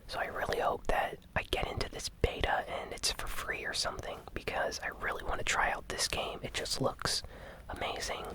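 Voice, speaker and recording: Whispered ASMR gaming news host. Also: moderate pace. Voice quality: breathy, unvoiced whisper.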